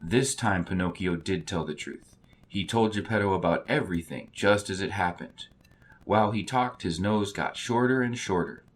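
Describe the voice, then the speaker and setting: A man's voice: low voice, moderate pace. A man narrating a children's story as a storybook reader.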